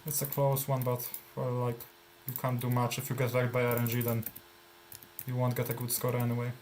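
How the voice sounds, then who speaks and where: A man with a Polish accent talks through his gameplay at a relaxed, middling pitch. He thinks aloud, trailing off with fillers and restarts. Faint background noise and keyboard typing sit under his voice. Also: brisk. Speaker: gameplay streamer. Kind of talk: casual live gameplay commentary.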